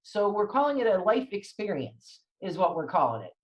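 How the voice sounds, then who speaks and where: A woman's voice: medium pitch, big pitch swings, moderate pace, rough recording. A woman hosting a live online talk, upbeat and chatty, with some fillers. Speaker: host. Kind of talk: live-stream introduction.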